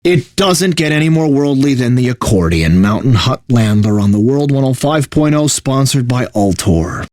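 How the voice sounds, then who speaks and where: A man's voice: medium pitch, expressive, normal speed, few pauses, a little mellow, no room sound, studio quality, natural voice. A man playing a bitter, burnt-out corporate radio DJ in a video game, mixing announcer patter with sarcastic, exasperated asides. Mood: forced radio cheer. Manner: exaggerated announcer delivery with sardonic, exasperated asides.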